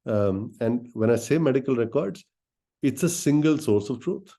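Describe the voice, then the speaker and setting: A man speaking as a company executive in a business presentation, explaining things directly to listeners in halting phrases with many filled pauses. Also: mid-range voice, lively intonation, dark tone. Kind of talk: business presentation on an earnings call.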